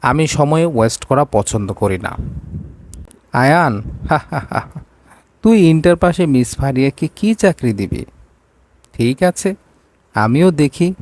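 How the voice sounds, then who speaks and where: A man's voice: fairly high pitch, animated, slow pace, long pauses, a little mellow. A man voicing a curt, commanding rich boss in a storybook romance, slipping into English. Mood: cold, irritated. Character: cold, domineering rich male boss in a romance.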